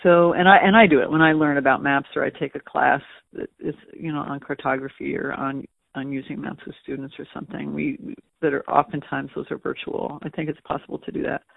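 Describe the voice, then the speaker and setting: A man with a slightly high, gravelly voice works through his thoughts aloud, pausing and restarting often. The sound is dry and tinny, like a phone line. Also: fairly even intonation, dry recording. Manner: thoughtful, hesitant, many restarts and fillers. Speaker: museum education staff. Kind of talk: conversational interview answer.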